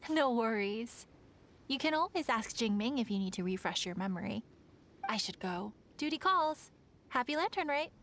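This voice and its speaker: A woman's voice: on the high side, expressive, moderate pace, fairly bright tone, slight background noise. A woman playing a diligent, friendly young festival organizer in a video game, polite and articulate. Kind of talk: video game dub acting. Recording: music behind the voice.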